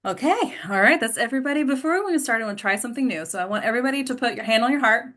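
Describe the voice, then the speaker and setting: A woman's voice: a little high, animated, rapid-fire, fairly bright tone. A woman hosting an online table read over a video call, speaking casually and motivationally as she guides the group. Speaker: host and director of an online script table read.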